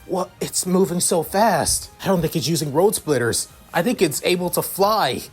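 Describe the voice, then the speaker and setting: A man's voice: medium pitch, animated, moderate pace, breathy edge, faint music behind. A man voicing a proud male noble knight who reacts with scoffing disbelief. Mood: stunned.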